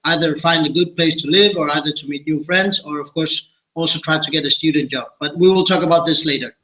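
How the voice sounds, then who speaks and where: A man in his thirties with a Danish accent and a bright, slightly high voice. He presents casually on a webinar, halting as he searches for words. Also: poor audio. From Denmark. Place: small office meeting room.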